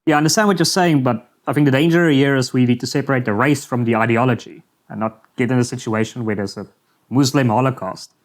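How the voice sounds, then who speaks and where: A male interviewer talks fast. He pushes back on his guest calmly and keeps his point measured. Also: medium pitch. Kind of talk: interview questions.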